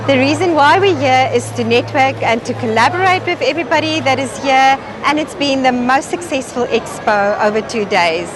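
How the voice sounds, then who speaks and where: A woman's voice: sits high, expressive, normal speed, few pauses, crowd noise. A woman marketing manager giving an upbeat, inviting promotional message at an expo. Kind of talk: promotional address to camera. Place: mall expo.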